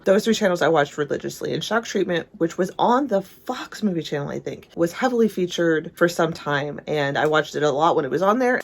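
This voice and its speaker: A woman's voice: fairly low pitch, vocal fry. A woman in her thirties from England gives casual, enthusiastic and opinionated film commentary as a video essayist.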